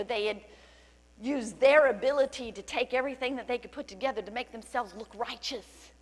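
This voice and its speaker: Fervent woman preacher in a reverberant hall. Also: on the high side. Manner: fervent preaching, calling out praise, reading scripture aloud. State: voice cracking from loudness. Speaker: pastor. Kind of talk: sermon. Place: large church stage indoors. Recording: long hall reverb, faint hum.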